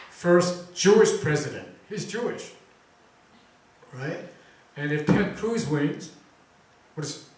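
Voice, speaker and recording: A man hosting a talk event, chatting casually and quizzing the audience with lots of fillers. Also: fairly high pitch. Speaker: event host introducing a speaker. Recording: very echoey room recording from far off.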